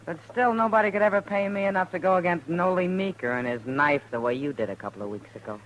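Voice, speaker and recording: A woman's voice: fairly low pitch, fast talker, highs cut off, close to an everyday voice. Wry American frontier woman played by an actress. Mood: concerned. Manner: frank, teasing. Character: wry, worldly, caring frontier town woman. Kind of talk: 1950s radio western acting. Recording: lightly noisy old radio recording.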